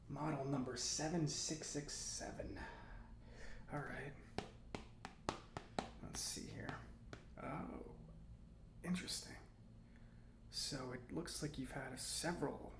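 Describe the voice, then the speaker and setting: A man playing a friendly, nerdy, chatty robot mechanic in a soft-spoken ASMR roleplay, breathy and rambling with casual hesitations. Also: medium pitch, reverberant, background noise. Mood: geeky, easygoing. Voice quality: soft, breathy, often near whisper. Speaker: repair shop mechanic character.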